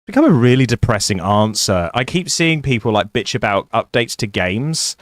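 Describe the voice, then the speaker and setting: A man with a low voice hosting a casual co-op gameplay session, chatty and playful, swearing now and then. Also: sing-song, moderate pace, dry recording, studio quality. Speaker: gaming channel host.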